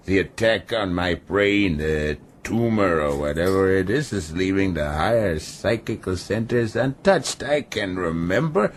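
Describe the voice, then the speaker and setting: Slow, deep-voiced ailing, sardonic sea captain. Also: male voice, slight background noise, exaggerated voice. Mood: scornful. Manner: mocking, deliberate, philosophical. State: ailing, weakened. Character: brutal, sardonic ship's captain, ailing and half-paralyzed, defiant. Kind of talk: radio drama acting.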